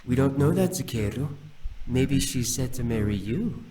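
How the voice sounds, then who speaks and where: An actor playing a quiet, curious young demon prince in a visual novel, thoughtful and hopeful. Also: male voice, medium pitch, lively intonation, normal speed, far from the mic, close to an everyday voice, low-quality recording. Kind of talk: visual novel character acting.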